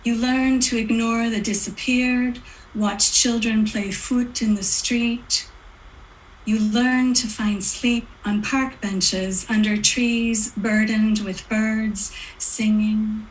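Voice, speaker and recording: Slow-spoken, fairly high-voiced woman poet. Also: little pitch movement, slight background noise, rough recording. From Outaouais region of Quebec, Canada. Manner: hesitant, halting introductions then measured reading. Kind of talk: poetry reading with spoken introductions.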